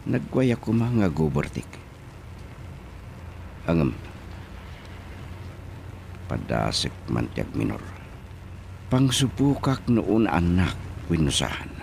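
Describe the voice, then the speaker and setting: A man's voice: deep voice, very animated, slow, gravelly, pauses often, darkish tone, a little hiss or hum, heavily acted. A man with an Ilocano accent playing a smug schemer muttering to himself in a radio drama. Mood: smug musing. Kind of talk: radio drama acting, musing aloud.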